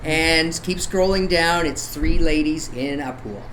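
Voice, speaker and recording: A man's voice: on the high side, measured pace, fairly bright tone, low-quality recording. A man from Canada chatting casually as a podcast guest. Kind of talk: casual chat. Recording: clear background noise, room ambience.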